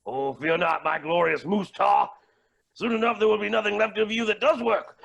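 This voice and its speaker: A man playing a villainous, grandiose billionaire in a comic stage play, theatrical and gleefully deranged, with a raspy, gravelly voice. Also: high-pitched, lo-fi sound, very noisy, exaggerated voice. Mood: manic glee.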